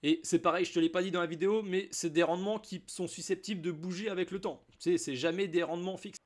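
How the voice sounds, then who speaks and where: A man's voice: high-pitched, fairly even intonation, fast. A French man with a slight cold, explaining a crypto wallet in a casual, chatty screen-share tutorial, talking straight to the viewer. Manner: informal, addresses viewer as "tu", chatty asides. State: has a cold. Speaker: crypto YouTuber.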